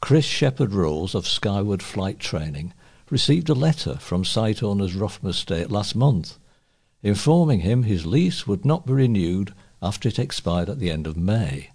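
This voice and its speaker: A man with a husky voice reading local newspaper articles aloud as a volunteer reader. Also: lowish voice, big pitch swings, moderate pace, darkish tone.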